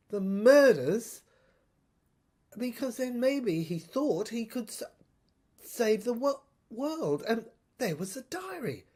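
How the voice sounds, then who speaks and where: A woman playing a troubled soldier in an audio drama monologue, thinking aloud in a halting, stammering, husky voice. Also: medium pitch, mellow, heavily acted. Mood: grim, searching. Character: troubled soldier piecing together a dead professor's secret diary.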